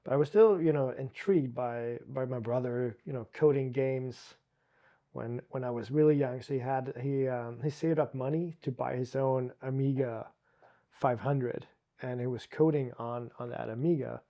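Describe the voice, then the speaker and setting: A man from Sweden with a Swedish accent, a guest telling his story in a relaxed chat, with frequent restarts and fillers. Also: mid-range voice, moderate pace. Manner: talks with many restarts and "you know" fillers.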